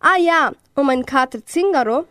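A high, youthful witch acted by a young woman from a children's amateur radio play. She is scatty and easily riled, proudly describing her world. The sound is very dry. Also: lively intonation, moderate pace, fairly bright tone, no echo. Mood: chatty, proud.

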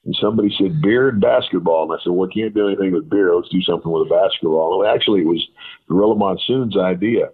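A man with a deep voice telling rambling stories as an interview guest, full of fillers and quoted dialogue. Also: fast, slightly rough. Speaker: guest, retired wrestler. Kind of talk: casual storytelling interview. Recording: tinny phone line.

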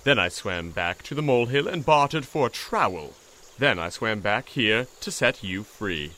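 A man's voice: on the low side, animated, normal speed, bright, forceful tone. A man voicing a pompous, formal and brave toad in an audio drama, speaking in a grandiloquent way. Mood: earnest.

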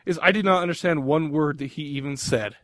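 A male co-host trades teasing jokes in casual chat. His voice sits a touch high. The sound is dry and close. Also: brisk, a bit bright, dry recording. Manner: teasing, joking. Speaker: podcast co-host.

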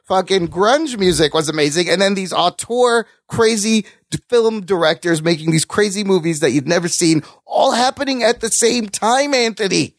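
A middle-aged man co-hosting a casual film discussion, excitable, with slangy, profane talk. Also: sits high, moderate pace, hardly any pauses, no echo, studio quality. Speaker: podcast co-host.

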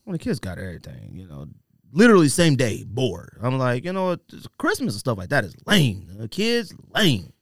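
A man's voice: medium pitch, wildly swooping pitch, normal speed, gravelly, no room sound, clean studio sound. A man with an American accent hosting a podcast, bantering casually with friends in slangy, joking talk. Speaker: podcast host.

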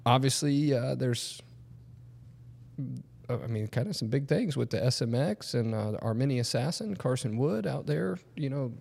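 A man's voice: mid-range voice, lively intonation, moderate pace, gravelly, a little mellow. Male podcast host, casual and rambling, reverberant studio sound. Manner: rambling, many fillers and restarts.

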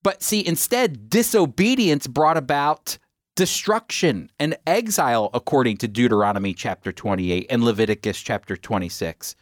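A man's voice: medium pitch, expressive, moderate pace, no room sound, studio quality. A man teaching the Bible on a radio program, emphatic, with rhetorical asides to his listeners.

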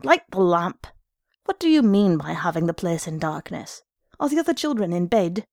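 A woman plays a bullying, hot-tempered father from a literary story. He barks fierce, scornful demands in a gravelly voice, recorded dry and close. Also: fairly low pitch, very animated, moderate pace, a little mellow, no echo. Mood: demanding. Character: bullying, hot-tempered Irish working man, drunken father.

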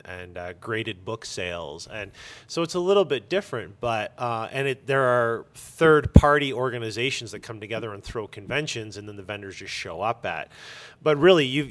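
A man talking casually and emphatically in an interview, giving blunt opinions as a shop owner. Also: on the low side.

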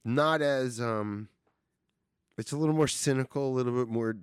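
Enthusiastic, rambling podcast host. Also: male voice, mid-range voice, normal speed, smooth, no room sound, studio quality. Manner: enthusiastic, rambling, swears freely. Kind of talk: casual movie chat.

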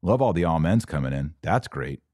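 A man hosting a podcast, teaching about religion informally, explaining with asides and emphatic pauses. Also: rumbling low, lively intonation, a little mellow, no echo, clean studio sound. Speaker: podcast host. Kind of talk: informal religious teaching to a live online audience.

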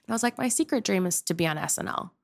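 A woman's voice: mid-range voice, expressive, very fast, creaky. A young woman from Pennsylvania, a guest in a casual interview, talking in a rambling, chatty way full of filler likes. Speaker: guest, opera-trained improv performer.

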